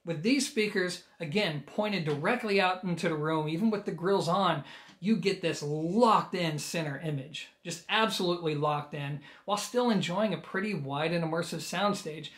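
A man's voice: sits high, normal speed, fairly bright tone. An American man in his thirties giving a casual, emphatic and explanatory video review of audio gear.

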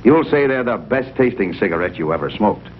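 A man's voice: fairly low pitch, expressive, fairly quick. An American man delivering a 1950s television commercial in a polished salesman's style. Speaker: commercial pitchman. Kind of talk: 1950s cigarette advertisement. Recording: dull 1950s TV audio, faint hum.

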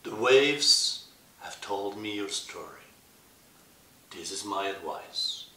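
A man voicing a wise old female octopus from a storybook, speaking deep, slow and solemn. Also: animated, pushed and bright, full character voice. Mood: solemn, knowing. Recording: roomy, hissy, distant microphone.

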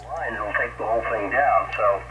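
A man's voice: on the low side, moderate pace, dull audio. A man chatting casually as an amateur radio operator, heard through a shortwave radio. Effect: shortwave single-sideband radio. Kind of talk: casual rambling radio chat. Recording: loud static, received over shortwave radio.

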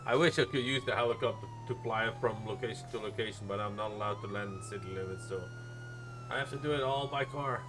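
A man's voice: on the low side, fast. A man chatting in character on a live game stream as a medic, casual and foul-mouthed, grumbling as he goes. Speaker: streamer role-playing as an emergency medic. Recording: noisy game audio with sirens behind. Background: emergency sirens and game sounds.

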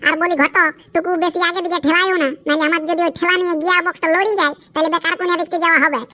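A man's voice: very high voice, level tone, rapid-fire, pushed and bright, full character voice. A man voicing a brash, crude, boastful young village bachelor in a cartoon comedy, bickering and cursing in a Purulia Bengali accent. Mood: bossy, cocky. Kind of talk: rustic cartoon comedy acting.

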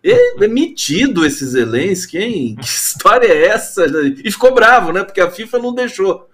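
Animated, high-voiced Brazilian man. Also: moderate pace. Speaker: interview show host. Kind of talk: casual interview questioning. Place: dim room with coloured lights, indoors.

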